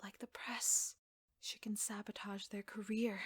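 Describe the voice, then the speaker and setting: A woman playing an obsessive, jealous secretary in an ASMR audio roleplay, soft-spoken and often slipping into breathy whispers. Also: mid-range voice, level tone, unhurried, no echo. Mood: brooding, resentful whisper. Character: obsessive yandere secretary, devoted, jealous, coldly menacing woman.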